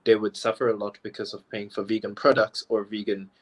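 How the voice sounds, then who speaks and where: A man's voice: fairly low pitch, level tone, brisk, rough recording. A young man from Taiwan, a professional dancer, chatting easily as a guest on a live video call and leaning on casual fillers. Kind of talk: casual interview chat. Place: remote live chat.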